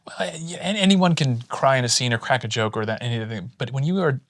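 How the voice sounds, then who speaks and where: A man in relaxed podcast conversation, spinning anecdotes with plenty of false starts. His voice sits in an ordinary middle range. The sound is close and dry. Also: mid-range voice, a little fast, no room sound. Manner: rambling anecdotes with many restarts. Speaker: podcast co-host. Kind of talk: casual chat, storytelling.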